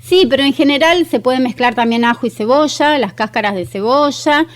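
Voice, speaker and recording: A woman's voice: high voice, moderate pace. An Argentine woman speaking as a guest gardening expert in a radio interview, explaining things conversationally in a practical, instructive way. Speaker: guest expert on aromatic plants and home gardening.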